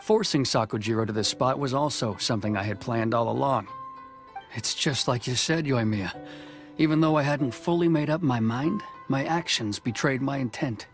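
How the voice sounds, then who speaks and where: A stern young official, voiced by a man, with a mid-pitched, measured delivery. He holds his words in check, formal and quietly troubled. Faint game music plays beneath. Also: lightly acted. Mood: reflective. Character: stern young male samurai-style official, conflicted. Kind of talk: video game voice acting.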